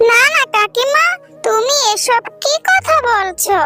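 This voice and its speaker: A woman's voice: extremely high pitch, lively intonation, slow pace, loud music behind the voice, exaggerated voice. A woman voicing a kind, earnest young girl animal who pleads, in a children's cartoon style. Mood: earnest pleading. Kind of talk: Bengali children's cartoon voice acting.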